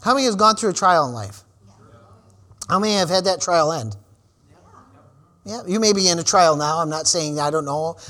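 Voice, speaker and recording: A man in his forties preaching a sermon, calling out "amen" and "praise the Lord" between lines and building to shouted, repeated refrains. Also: fairly high pitch, lively intonation, moderate pace, long pauses. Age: 40s. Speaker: pastor.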